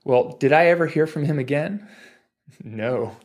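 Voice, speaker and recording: A man's voice: on the high side, measured pace. A man in his forties telling stories casually as a podcast guest, rambling and digressing with jokey asides. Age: 40s. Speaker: podcast guest, physical therapist. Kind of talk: casual storytelling in a podcast interview.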